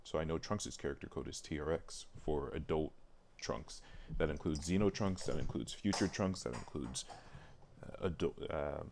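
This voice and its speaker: A man's voice: a bass voice, moderate pace, vocal fry, darkish tone, a little hiss or hum. A man livestreaming casually as he works, muttering to himself and thinking aloud. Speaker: streamer. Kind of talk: casual livestream chat while working.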